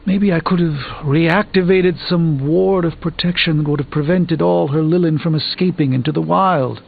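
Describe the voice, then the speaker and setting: An actor playing a proud, grave sorcerer burdened by failure in a video game, speaking in a formal, dramatic, articulate manner. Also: male voice, fairly high pitch, normal speed, creaky, phone-quality sound. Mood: anguished, bitter. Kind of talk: video game character dialogue.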